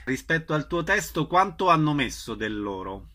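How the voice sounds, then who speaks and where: A man's voice: on the high side, big pitch swings, moderate pace, crowd noise. A man working as a radio interviewer, introducing a guest and putting short questions.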